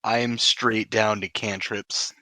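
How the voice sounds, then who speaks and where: A man's voice: fairly low pitch, level tone, takes it slowly, sharp tone, dry recording. A man chatting casually and sweary as a player in a tabletop roleplaying game.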